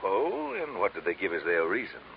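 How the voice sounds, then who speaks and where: An actor playing a pompous, portly crime boss with florid, courtly menace in an old radio detective drama. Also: male voice, sits low, wildly swooping pitch, moderate pace, creaky, heavily acted. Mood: lofty, impatient. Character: pompous, portly, scheming older crime boss Garrett Drummond. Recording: muffled, hissy vintage radio recording.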